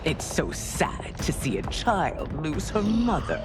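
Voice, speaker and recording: A woman's voice: lowish voice, very animated, slow pace. A woman playing a regal empress in a video game cutscene, speaking with theatrical weight over cinematic music. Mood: menacing, mocking. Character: regal, slow-spoken empress mother with weighty, shifting tone. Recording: loud cinematic music behind.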